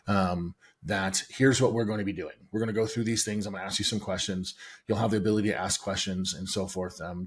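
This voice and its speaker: A middle-aged American man with a low, husky voice explains his sales process as an interview guest. He speaks in a measured, steady way, pausing often with "um". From United States. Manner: measured, steady, many "um" pauses. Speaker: guest, sales and software founder.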